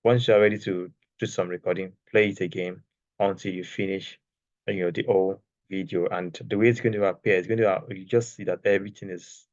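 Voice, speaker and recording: A soft-spoken man teaching a casual online class while sharing his screen, talking loosely and checking in with "okay?". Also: deep voice, fairly even intonation, brisk, no room sound, highs cut off. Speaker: course creation instructor. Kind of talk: screen-share tutorial, casual. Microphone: external microphone.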